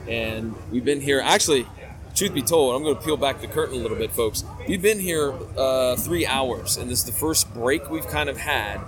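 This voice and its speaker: A man's voice: medium pitch, normal speed, crowd noise. A man hosting a podcast, talking in an upbeat, chatty, joking way. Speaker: podcast host. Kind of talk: casual podcast chat. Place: busy indoor expo hall.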